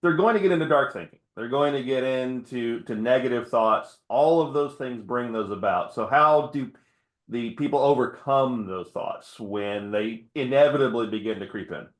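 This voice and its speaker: A man's voice: mid-range voice, normal speed, roomy sound. A man hosting an interview, chatty, laughing often and restarting his sentences. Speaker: podcast host. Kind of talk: interview conversation.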